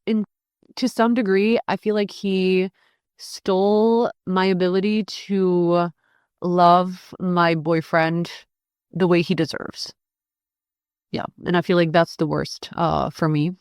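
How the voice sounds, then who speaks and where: A young woman whose first language is French, speaking as a podcast guest in a candid, hesitant way with many pauses and fillers. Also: lowish voice, clean tone, dry recording, studio quality.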